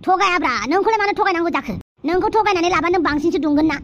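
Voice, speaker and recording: A woman's voice: very high voice, rapid-fire, a bit bright, dull audio. A woman voicing a soothing, wheedling father in a cartoon, chatty and coaxing. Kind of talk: cartoon dialogue.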